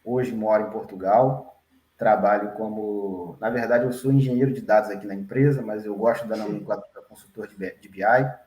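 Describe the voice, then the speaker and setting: A Brazilian man working as a data engineer, introducing an online class and himself at an unhurried pace. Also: lowish voice, fairly even intonation, a little mellow, echoey room. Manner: unhurried, frequent tag questions.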